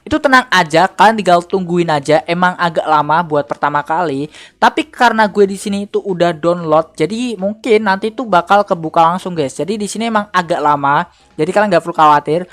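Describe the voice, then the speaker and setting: Lower-pitched woman giving a casual tutorial. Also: a little fast. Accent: Indonesian. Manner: informal, slangy, addresses viewers directly. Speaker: tutorial host. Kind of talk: casual screen-recorded software tutorial.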